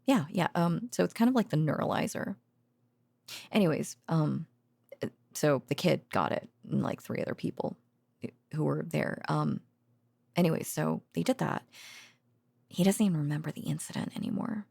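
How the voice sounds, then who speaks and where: A woman acting as a tender, tired researcher girlfriend in an ASMR roleplay. Her voice sits on the low side, very breathy and soft, often with a creaky edge. She muses haltingly and drowsily, as if confiding at bedtime. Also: dry recording, full character voice. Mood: wry, musing. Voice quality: soft, breathy, partly whispered. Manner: hesitant, drowsy, musing, with sighs and soft chuckles. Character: tender, weary female secret-facility researcher and girlfriend. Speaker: voice actor in an audio roleplay. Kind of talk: intimate ASMR girlfriend roleplay, conversational monologue.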